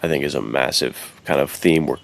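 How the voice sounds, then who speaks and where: A man's voice: very deep voice, brisk, vocal fry. A man chatting casually as a podcast co-host, with a slangy, bro-like way of talking.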